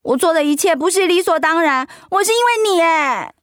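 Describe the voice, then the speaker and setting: An actress playing a hurt, outspoken wife recovering from cancer in an emotional, plaintive audio drama performance. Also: female voice, extremely high pitch, expressive, fairly quick, a bit bright, no room sound, studio quality. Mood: bitter, reproachful.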